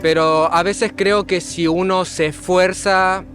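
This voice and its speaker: A man stopped by a reporter for his view, feeling for words very slowly in a fairly high voice. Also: steady tone, a bit bright. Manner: tentative, halting. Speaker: street interviewee. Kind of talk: casual vox pop answer.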